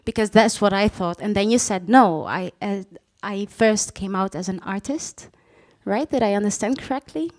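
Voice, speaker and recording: A woman's voice: mid-range voice, moderate pace. Woman moderating a panel. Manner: thoughtful, restates answers to check them. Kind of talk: live panel discussion. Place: stage talk before an audience, no microphones.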